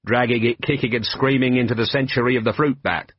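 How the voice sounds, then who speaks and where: Guy doing a tired sarcastic cop boss, dry and sardonic, raspy-ish voice. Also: medium pitch, fairly even intonation, quick pace, dry recording, lo-fi sound, close to an everyday voice. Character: weary, sarcastic middle-aged male police commander.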